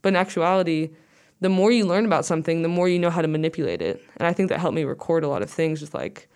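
A young woman with a low, smooth and clear voice chats loosely as an interview guest. She rambles through her thoughts, filling them with "like" and small restarts. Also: expressive, fast talker, darkish tone. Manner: rambling, says "like" a lot. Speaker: guest musician. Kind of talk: casual interview chat.

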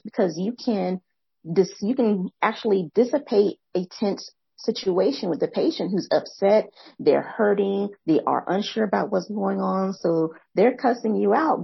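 Young woman podcast host, soothing husky voice, fast and eager, rephrasing often. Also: dry recording, dull audio. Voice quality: soft, soothing low voice. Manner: fast, excited talker who pauses to rephrase. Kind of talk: reflective interview commentary.

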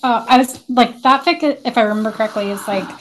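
A woman's voice: fairly high pitch, fairly quick, fairly bright tone, slight background noise, rough recording. A woman co-hosting a podcast, chatting casually in a blunt, slangy way. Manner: blunt, slangy, frequent use of like. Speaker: podcast co-host. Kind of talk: casual chat.

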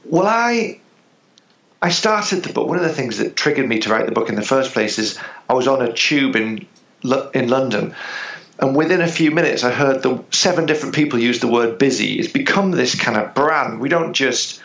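A man's voice: medium pitch, expressive, moderate pace, gravelly, a bit bright, reverberant, highs cut off. A man speaking as a business psychologist guest, explaining his ideas thoughtfully with hesitations. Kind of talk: interview answers.

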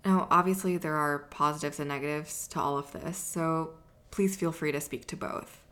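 Lowish-voiced woman hosting a podcast. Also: steady tone, normal speed, a bit bright. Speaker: podcast host. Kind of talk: scripted intro, then interview questions.

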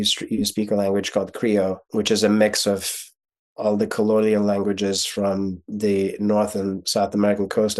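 This is a man in his forties with a fairly low voice, a native Russian speaker who grew up in Ukraine. He talks as a podcast guest in an unhurried, thoughtful way, often restarting a phrase before settling on it. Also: darkish tone. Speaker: guest, real estate investor and mentor. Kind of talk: podcast interview.

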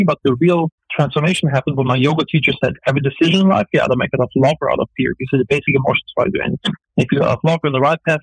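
Fast, gravelly man with a German accent. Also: medium pitch, dry recording, poor audio. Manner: earnest, fast, full of fillers. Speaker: entrepreneur guest. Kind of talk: radio interview answers.

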